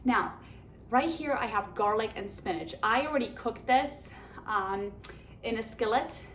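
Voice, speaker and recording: A woman's voice: a little high, lively intonation, a little slow, a bit bright. A woman with a Polish accent hosting a home cooking show, giving chatty, enthusiastic step-by-step instructions in her kitchen. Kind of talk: cooking demonstration. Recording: echoey kitchen with background noise.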